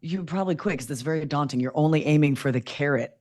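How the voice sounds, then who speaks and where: A woman with a low voice, a podcast guest telling her story candidly in casual chat. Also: fast. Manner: candid storytelling, animated quoting.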